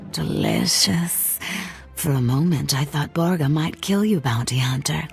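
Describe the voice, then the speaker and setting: A woman playing a languid, sadistic crime boss in a video game, with a purring, teasing, drawn-out delivery that drops into whispers. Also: low voice, creaky, faint music behind. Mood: pleased, savoring. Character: sadistic, languid crime boss lady who savors cruelty.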